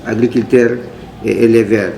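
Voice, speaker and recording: Mellow man, distant in an echoey room. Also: on the low side, flat delivery, normal speed, creaky. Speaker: NGO representative. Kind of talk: formal opening speech at a community meeting. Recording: echoey room, distant mic, faint noise.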